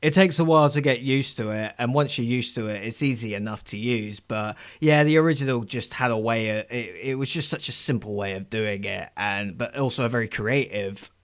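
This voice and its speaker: A man's voice: mid-range voice, brisk, hardly any pauses. Hesitant man in casual podcast chat. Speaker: podcast co-host.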